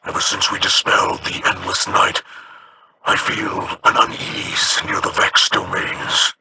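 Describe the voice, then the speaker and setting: A man's voice: low-pitched, level tone, unhurried, background noise. An actor playing a solemn, formal male alien leader who gives grave commands.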